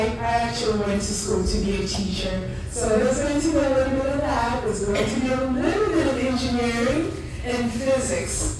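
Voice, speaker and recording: A woman from Cameroon with a Cameroonian accent, giving a chatty conference talk and joking with the audience. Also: on the high side. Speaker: conference presenter. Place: conference room. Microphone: handheld or stand microphone in a room. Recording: distant echoey room recording with noise.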